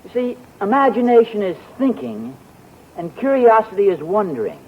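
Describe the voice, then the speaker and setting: A wheedling, curious sitcom husband. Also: male voice, sits high, very animated, normal speed, a little hiss or hum. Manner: wheedling, guessing, nervously chatty. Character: curious, teasing, flustered husband. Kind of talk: 1940s radio sitcom acting. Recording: dull lo-fi 1940s radio recording.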